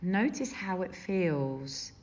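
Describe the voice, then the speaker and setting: A woman leading a guided meditation as a teacher, gentle and soothing, with unhurried phrases and long pauses. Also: fairly low pitch, animated. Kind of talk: guided body scan meditation. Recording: dull, muffled lo-fi sound.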